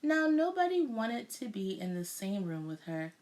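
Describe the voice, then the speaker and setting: A woman's voice: mid-range voice, very animated, normal speed, darkish tone. A woman narrating a children's storybook aloud expressively, as a teacher would.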